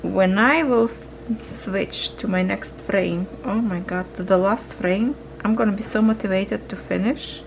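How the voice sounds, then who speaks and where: Slow gravelly-voiced woman stitching and chatting. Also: mid-range voice, a little hiss or hum, poor audio. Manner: slow, musing, talks to viewers while working. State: losing her voice, coughing. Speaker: cross-stitch vlogger. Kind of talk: casual chat while stitching.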